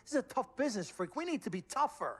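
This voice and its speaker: An actor playing a pushy, fast-talking male sports agent in a video game, slick and emphatic in his delivery. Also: very high-pitched, very animated, slightly rough, soft background music, heavily acted. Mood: heated, insistent. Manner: slick, emphatic, repeats words for effect. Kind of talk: cinematic sports game dialogue.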